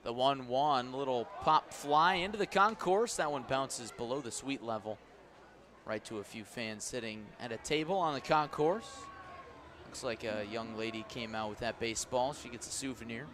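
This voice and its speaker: A man's voice: moderate pace, fairly bright tone. Man calling baseball over stadium noise. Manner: clipped pitch calls mixed with conversational asides. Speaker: baseball play-by-play announcer. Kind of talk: live baseball radio commentary. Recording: clear outdoor stadium ambient noise. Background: outdoor ballpark ambience.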